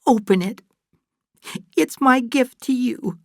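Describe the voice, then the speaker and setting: A woman's voice: on the high side, takes it slowly, gravelly, no room sound, studio quality, pitched above the performer's own voice. A woman voicing an emotional, doting older mother in a storybook, gushing and insistent as she talks. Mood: tender, excited. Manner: gushing, exclamatory. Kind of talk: dramatised audiobook dialogue.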